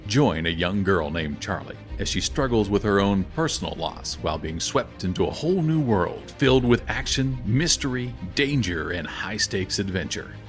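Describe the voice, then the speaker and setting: A man's voice: on the low side, expressive, normal speed, hardly any pauses. A man reading a scripted promotional trailer as a dramatic announcer. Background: music.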